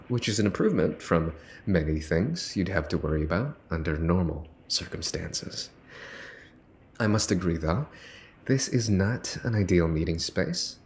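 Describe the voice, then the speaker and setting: A man playing a smug, theatrical mafia boss's son in an ASMR roleplay, with a teasing drawl full of pauses. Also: lowish voice, vocal fry, darkish tone, slight background noise. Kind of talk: ASMR boyfriend roleplay acting.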